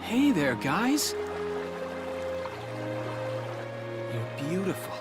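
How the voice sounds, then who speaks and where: A young man playing a mild, dreamy student in a video game, gentle and hesitant. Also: high voice, sing-song, measured pace. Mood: wistful, delighted. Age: young adult. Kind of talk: animated video game voice acting. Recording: loud music behind the voice. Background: music.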